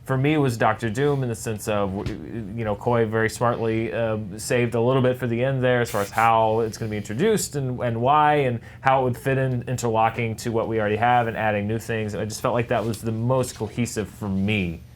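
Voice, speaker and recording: Male judge, average pitch, informal and hesitant reasoning, light background noise. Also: fairly even intonation, brisk, few pauses. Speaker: judge and fact checker on debate show. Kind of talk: casual spoken commentary.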